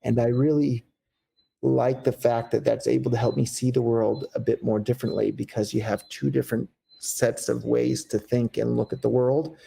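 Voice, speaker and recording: Gravelly American man in a relaxed interview. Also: mid-range voice, normal speed, poor audio. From United States. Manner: candid, rambling, with fillers and restarts. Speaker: guest. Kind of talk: casual interview talk.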